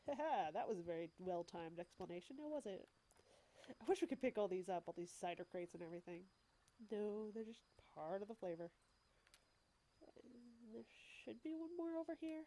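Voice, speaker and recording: A woman's voice: medium pitch, lively intonation, moderate pace, mellow, low-quality recording. Stuffed-up woman chatting through gameplay. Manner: chatty, explains as she plays, wry asides. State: stuffed up with a cold. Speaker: let's play host. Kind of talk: casual gameplay commentary.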